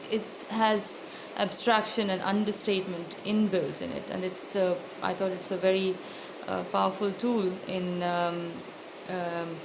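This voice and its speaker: A woman with an Indian accent giving thoughtful, articulate interview answers as an author, in a measured way with hesitant pauses. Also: fairly low pitch, creaky. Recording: loud background noise.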